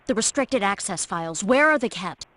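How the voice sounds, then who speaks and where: A woman's voice: mid-range voice, lively intonation, normal speed, a bit bright, dry recording. A woman playing a determined female agent infiltrating enemy systems in a scripted video game. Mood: commanding. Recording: soundboard clips with light noise, clicks.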